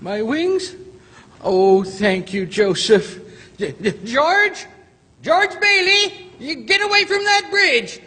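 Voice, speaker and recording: A jittery old angel, very high and bright, slow, reverby hall. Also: male voice, lively intonation, exaggerated voice. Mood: urgent. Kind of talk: live radio drama acting. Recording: theater stage with long reverb.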